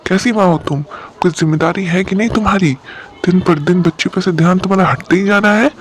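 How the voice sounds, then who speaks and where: A man playing an angry father who scolds and accuses in an animated moral story. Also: sits high, fairly quick, lightly acted. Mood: angry, accusing. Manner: accusing, indignant.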